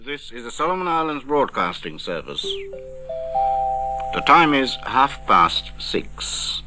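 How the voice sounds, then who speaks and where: A man's voice: medium pitch, very animated, slow, loud music behind the voice. A man giving a scripted 1960s shortwave radio station identification. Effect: shortwave radio broadcast.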